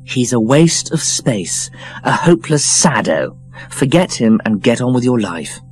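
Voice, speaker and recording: A man's voice: mid-range voice, very animated, measured pace, a little mellow, slightly rough, soft background music, pitched above the performer's own voice. A man voicing a snobbish, scathing young woman, blunt and emphatic, for an audiobook. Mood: scornful, insistent. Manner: sneering, emphatic, cutting. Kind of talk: audiobook character voice.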